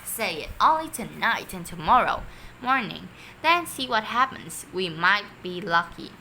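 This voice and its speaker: A woman's voice: mid-range voice, very animated, a little slow, sharp tone, a little hiss or hum, exaggerated voice, rough recording. A woman playing a polite, eager storybook man who earnestly advises his neighbour. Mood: persuasive.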